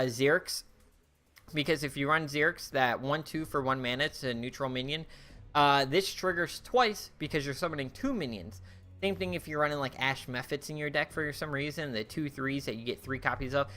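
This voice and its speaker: A man's voice: medium pitch, pushed and bright. A young man casually reviewing cards for a card game, thinking aloud with many fillers and restarts, his voice getting worn. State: losing his voice.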